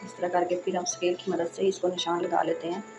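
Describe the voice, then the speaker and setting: Hoarse woman chatting through a sewing tutorial. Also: fairly low pitch, flat delivery, a little fast, over music. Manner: chatty and reassuring, frequent "accha ji". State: hoarse, sore throat. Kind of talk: casual step-by-step sewing tutorial.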